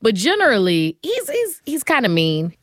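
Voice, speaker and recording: A woman's voice: a little high, wildly swooping pitch, moderate pace, a bit bright, dry recording, clean studio sound. A woman hosting a radio interview in a conversational broadcast style.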